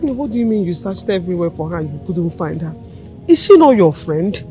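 A woman's voice: fairly low pitch, sing-song, fast talker, warm tone, faint music behind. An actress playing a frantic mother pleading for her missing daughter, crying out to God. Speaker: worried mother. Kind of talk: film drama acting.

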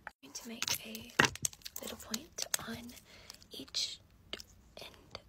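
A woman in her twenties whispers gently and reassuringly, giving soft instructions in an ASMR roleplay close to the mic. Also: fairly high pitch, fairly even intonation, slow pace. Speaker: ASMR creator in a costume fitting roleplay.

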